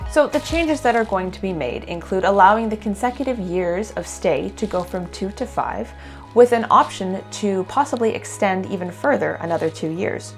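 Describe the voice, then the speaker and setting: A woman from Canada, hosting an immigration talk show, reading news items and then chatting with a guest in a clear, steady delivery. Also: mid-range voice. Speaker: host. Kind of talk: news segment then casual chat.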